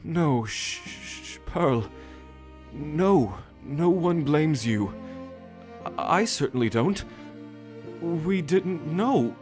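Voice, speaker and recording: A man acting in an ASMR audio roleplay as a doting royal caretaker, hesitant and stammering as he gently reassures. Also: a little high, lively intonation, very slow. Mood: soothing. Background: orchestral string music.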